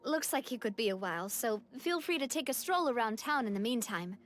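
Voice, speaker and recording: A woman's voice: a little high, lively intonation, a little fast, fairly bright tone, slight background noise. A woman voicing a poised, confident young woman in a game cutscene. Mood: friendly. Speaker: game character. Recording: game cutscene audio with faint music.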